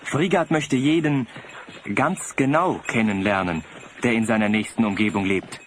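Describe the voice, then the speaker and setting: An actor playing a naive, vain young sled driver in a German audio drama, earnest in delivery. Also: male voice, medium pitch, normal speed, natural voice. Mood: earnest, self-assured. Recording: slightly noisy cassette audio drama.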